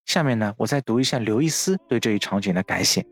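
A man's voice: on the low side, expressive, fast. A man hosting a podcast, narrating literary history from a script in a bookish, explanatory manner. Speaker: podcast host. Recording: music under the voice.

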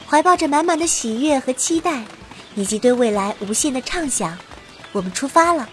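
A woman as a high-voiced, earnest young heroine. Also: darkish tone, close to an everyday voice. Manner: earnest, heartfelt. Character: young heroine adventurer, sincere, hopeful, determined. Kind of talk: audio drama acting with narrated monologue.

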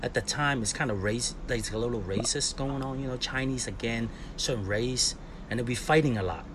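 A man's voice: medium pitch, fairly quick. A man in his forties from Hong Kong, a skateboarder being interviewed, telling stories casually in English, laughing often and acting out quotes. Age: 40s. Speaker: guest, skateboarder. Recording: clear background noise.

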